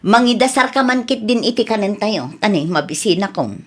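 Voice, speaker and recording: A woman's voice: mid-range voice, animated, fairly quick, lightly acted. A woman with an Ilocano accent playing a shameless, scheming neighbour in a comedy radio drama. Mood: pleased.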